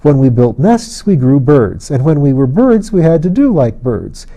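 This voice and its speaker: Man voicing an eager, high-pitched little child. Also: normal speed. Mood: excited, proud. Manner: excitable, insistent, simple childlike explaining. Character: lively, eager small forest children, chattering and earnest. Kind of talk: audiobook character voice.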